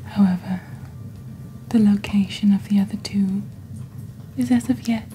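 Slow, soft, breathy witch played by a woman. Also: mid-range voice, steady tone, slight background noise, natural voice. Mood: calm, mysterious. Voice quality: soft, breathy, half-whispered. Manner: slow, deliberate, hushed, theatrical presenting. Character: witch presenting magical wares, calm and mysterious. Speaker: host of a fantasy shopping channel. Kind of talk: soft-spoken ASMR, scripted narration. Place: small dim indoor set. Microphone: close fluffy-covered studio microphone.

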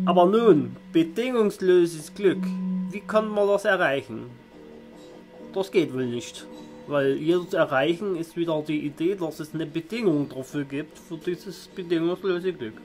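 A man in his thirties thinks aloud in a slightly high voice. His delivery is very slow and contemplative, broken by long pauses. It is an improvised monologue recorded on a camera in a small room, with faint music behind him. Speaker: vlogger musing on spirituality.

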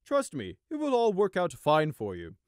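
A man's voice: high voice, huge pitch swings, normal speed, a little mellow, clear, resonant tone, no room sound, clean studio sound, pitched above the performer's own voice. A man doing a smug unicorn guard. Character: smug male unicorn border guard officer. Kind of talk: audiobook character voice.

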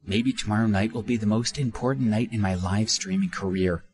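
A man voicing a spoiled, pushy young woman streamer in a high, demanding, pleading tone. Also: steady tone, a little fast, darkish tone, natural voice. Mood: indignant pleading.

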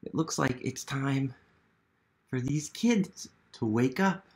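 Slow-paced American man reading to children. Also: medium pitch, expressive, vocal fry, a little mellow. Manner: encouraging, asks questions and pauses for young listeners. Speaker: museum literacy educator hosting a children's storytime. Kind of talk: interactive read-aloud for young children. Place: small living room at home, indoors.

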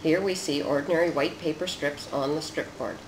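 Measured narrator explaining a research demo, roomy echo, bit of noise behind. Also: mid-range voice, steady tone.

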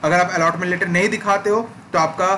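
Young male tutor, bright forceful tone, slightly high voice, walks through a process step by step. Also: steady tone, fairly quick, slight background noise. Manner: explanatory, repeats "ठीक है" check-ins. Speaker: education channel host. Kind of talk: screen-recorded tutorial walkthrough.

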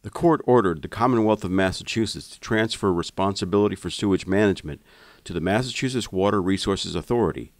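A man reading scripted documentary narration in a formal, measured way. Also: sits low, no echo.

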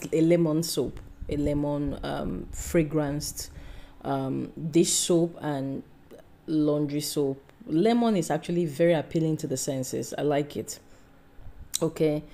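A woman's voice: deep voice, mellow. A woman host talking through a homemade soap tutorial in a chatty, rambling way, with many fillers and self-corrections. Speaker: soap-making channel host. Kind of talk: casual tutorial voice-over.

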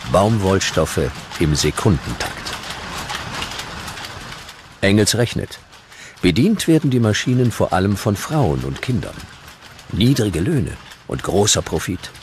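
A man narrating a scripted historical documentary in measured, dramatic short sentences. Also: on the low side, big pitch swings, a little hiss or hum. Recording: music behind the narration.

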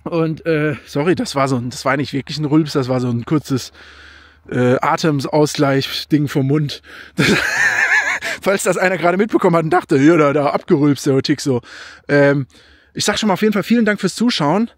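Casting: young male car vlogger, high-pitched voice, eager and rambling, dry close phone-mic sound. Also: normal speed, no echo. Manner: enthusiastic, rambling, thinks aloud and corrects himself. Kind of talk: casual car review vlog. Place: outdoors by a car in a snowy field.

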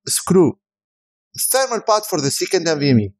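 A man's voice: a little high, sing-song, a little fast. A man who is a native Arabic speaker, giving a scripted voice-over product review as a tech reviewer.

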